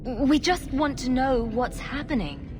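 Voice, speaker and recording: An actress playing a diplomatic, composed adventurer heroine in a video game, speaking directly and steadily. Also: female voice, high-pitched, very animated, measured pace, over music. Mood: firm. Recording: reverberant hall, faint noise.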